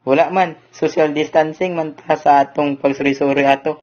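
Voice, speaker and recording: Man playing a slightly high-voiced young Filipino friend. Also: steady tone, normal speed, a little mellow, close to an everyday voice. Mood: casual. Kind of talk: amateur radio drama acting. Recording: dull lo-fi audio under music.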